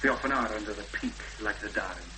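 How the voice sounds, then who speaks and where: An actor playing a cautious, courteous rural policeman with a Welsh accent and a halting official delivery in a 1970s radio drama. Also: fairly low pitch, lightly acted. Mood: halting, sombre. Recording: old radio recording, loud hum and noise.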